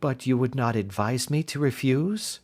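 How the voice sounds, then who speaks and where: A man voicing a brisk, earnest young woman governess in an audiobook reading, in his own everyday voice. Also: medium pitch, darkish tone, close to an everyday voice. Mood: puzzled.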